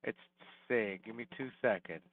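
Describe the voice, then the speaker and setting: A man's voice: fairly low pitch, brisk, dry recording. A man on a headset who sounds flustered and self-conscious as he checks his own mic. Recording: tinny, dry headset line.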